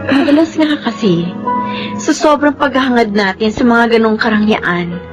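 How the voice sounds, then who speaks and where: Young Filipino wife and loyal daughter woman acting, high earnest voice, emotional radio drama, light music behind. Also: normal speed, lightly acted. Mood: tender. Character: long-suffering, loyal adult daughter, married Filipino woman. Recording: music under the voice.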